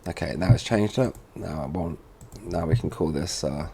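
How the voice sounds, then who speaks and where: A man with a fairly low, very soft-edged, mellow voice presents a screen tutorial. He talks informally and thinks aloud as he goes through each step. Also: normal speed, a little hiss or hum. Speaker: tutorial presenter for a security products seller. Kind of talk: casual software walkthrough narration.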